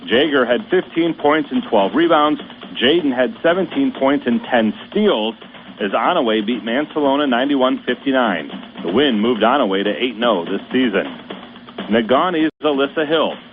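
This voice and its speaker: Man, radio sports host, scripted news reading, steady measured delivery, tinny broadcast sound, faint hiss. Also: mid-range voice.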